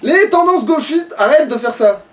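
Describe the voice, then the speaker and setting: A man's voice: very high-pitched, expressive, normal speed, a bit bright. A man playing a video game and commenting casually, muttering, swearing and thinking aloud. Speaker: player in a let's play. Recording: distant mic, faint background noise.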